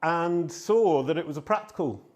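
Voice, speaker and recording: Loud, animated man giving a talk. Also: a little high, moderate pace. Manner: loud, animated, self-deprecating storytelling. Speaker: conference speaker. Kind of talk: live conference talk. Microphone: handheld microphone.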